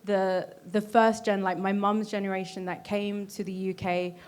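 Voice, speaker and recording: A woman's voice: medium pitch, level tone, moderate pace, darkish tone. A woman with a British accent, speaking as a panelist in an earnest, explanatory and reflective way. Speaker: panelist, Korean cultural ambassador.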